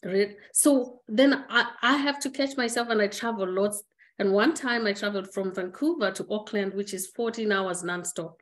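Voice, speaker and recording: A middle-aged woman from Zimbabwe speaking on a webinar call, reflective and earnest, pausing on fillers and restarting her sentences. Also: medium pitch. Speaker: guest speaker. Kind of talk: candid personal conversation. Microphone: webinar video call.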